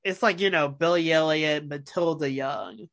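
A man with a high voice co-hosting a casual podcast, hesitant and restarting sentences. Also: moderate pace, a bit bright. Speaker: podcast co-host. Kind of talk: casual chat.

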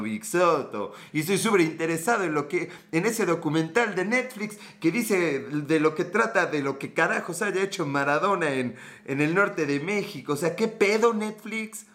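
Brief: young Mexican man, bright forceful tone, slangy jokey streamer, laughs a lot. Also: fairly high pitch. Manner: joking, crude slang, laughs often. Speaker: livestream host. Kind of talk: casual livestream chat reading viewer comments.

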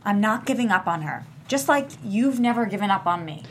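A woman's voice: medium pitch, lively intonation, fairly quick, a little hiss or hum, natural voice. A woman playing a protective, defensive middle-aged daughter in a script table read, earnest and pleading.

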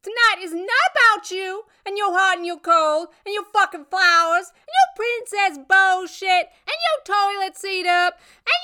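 Female narrator as angry scorned woman, high pitch, loud, scathing, emphatic, audiobook character. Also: full character voice. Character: furious foul-mouthed woman scorning her cheating ex.

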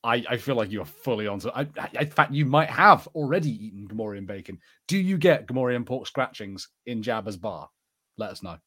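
A man's voice: mid-range voice, animated, fairly quick, bright, forceful tone, slightly rough. A man co-hosting a podcast with casual, playful, joking banter. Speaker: podcast co-host.

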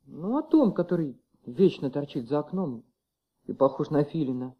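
An actor in a Soviet radio play playing a wary, stubborn young schoolboy who answers in a naive, defensive way, on an old recording. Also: male voice, a little high, animated, moderate pace, dull audio. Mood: defensive, sulky. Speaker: radio drama performer playing a child.

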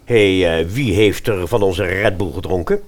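Loud indignant male athlete, voiced by the storyteller. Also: deep voice, a little slow. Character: loud, indignant tall male volleyball player. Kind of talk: fairy-tale radio play acting.

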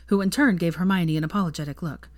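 A woman reading fan fiction aloud as expressive, scripted story narration. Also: lowish voice, quick pace, dry recording, clean studio sound.

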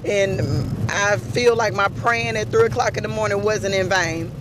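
Hoarse woman telling a faith story. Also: medium pitch, steady tone, normal speed, background noise. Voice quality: raspy. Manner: earnest, storytelling, faith-filled. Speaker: podcast speaker. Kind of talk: personal testimony addressed to an aunt.

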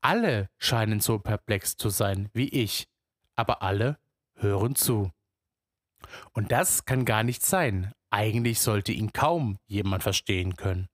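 A man's voice: lowish voice, a little slow, no echo, studio quality. A man telling a story in the first person, with musing, immersive narration.

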